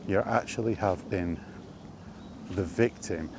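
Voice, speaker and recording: A man giving an unscripted opinion monologue in an emphatic, deliberate manner, leaning on rhetorical questions and repetition. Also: deep voice, noisy background. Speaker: video host sharing opinions on gaming.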